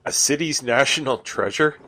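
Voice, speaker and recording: A man playing a skeptical, reluctant buddy, the wry straight man in a comedic radio play, questioning in a deliberate way. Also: medium pitch, pushed and bright, close to an everyday voice, low-quality recording.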